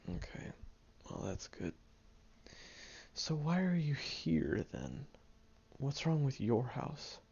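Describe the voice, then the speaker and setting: A man voicing a grumpy tsundere teenage boy who is gruff but caring, grumbling, sighing and muttering half-whispered asides in an audio roleplay. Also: a little high, big pitch swings, takes it slowly, a little mellow, muffled recording, exaggerated voice. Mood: gruff concern.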